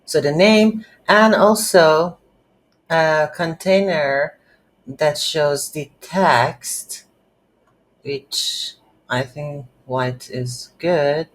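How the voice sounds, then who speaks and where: Woman, low voice, slow halting pace, casual tutorial host, thinking aloud. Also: poor audio. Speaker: game development tutorial host. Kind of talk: casual screen-recorded software tutorial.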